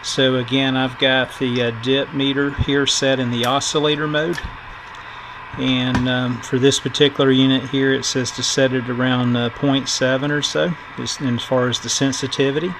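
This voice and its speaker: Flat-toned man explaining hands-on electronics repair. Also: medium pitch. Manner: halting, thinking aloud. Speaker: host of radio repair videos. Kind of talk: casual hands-on electronics tutorial. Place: indoor workbench. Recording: clear background noise.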